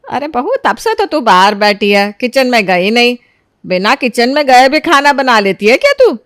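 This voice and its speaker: A woman voicing a suspicious, probing mother-in-law in an animated story. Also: a little high, normal speed, dry recording, clean studio sound. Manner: probing, scolding.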